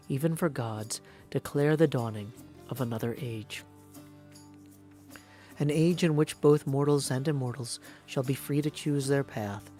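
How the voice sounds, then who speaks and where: Game epic narrator, performed by a man: solemn, measured, middle register, grand pronouncements over soft music. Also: medium pitch, lightly acted. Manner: grave, measured, portentous. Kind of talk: scripted mythic game narration.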